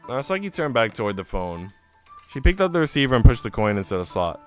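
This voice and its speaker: A man's voice: medium pitch, sing-song, quick pace, faint music behind. A man live streaming, reading a visual novel aloud and dropping into casual, slangy asides to his chat. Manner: informal, slangy, chatty.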